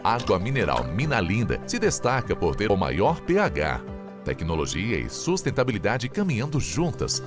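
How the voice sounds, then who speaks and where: Low-voiced man reading a commercial. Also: wildly swooping pitch, moderate pace. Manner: smooth promotional delivery. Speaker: ad announcer. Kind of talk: scripted advertisement voice-over. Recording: music bed behind voice.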